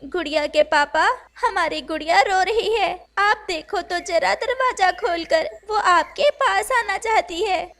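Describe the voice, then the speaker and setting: Woman as a high-pitched weeping mother bird. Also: a little slow, few pauses, fairly bright tone, heavily acted. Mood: pleading. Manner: tearful, pleading. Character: sorrowful, pleading mother bird, cast out of home. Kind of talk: Hindi cartoon voice acting.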